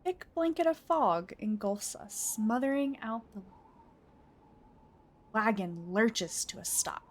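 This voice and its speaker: A woman reading a visual novel aloud as a game streamer, with expressive, theatrical delivery. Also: a little high, takes it slowly. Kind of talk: dramatic narration of a visual novel with casual asides.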